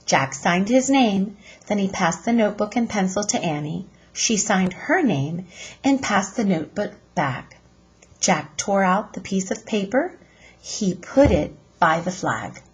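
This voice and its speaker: Woman, husky tone, slow pace, deliberate expressive storytelling, teacher reading to children. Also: medium pitch, highs cut off. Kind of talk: children's chapter book read-aloud.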